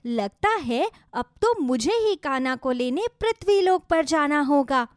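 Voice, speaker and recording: Woman voicing a plaintive, very high-pitched young goddess. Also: expressive, a little slow, no room sound, clean studio sound. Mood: longing. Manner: plaintive, dramatic. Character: young goddess, lovelorn and gently reproachful. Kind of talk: Hindi moral-story cartoon voice acting.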